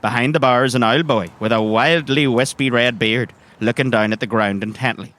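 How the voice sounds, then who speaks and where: A man's voice: mid-range voice, brisk, fairly bright tone. A man acts as game master. In a mid-range voice, he narrates the setting of a tabletop game in descriptive detail.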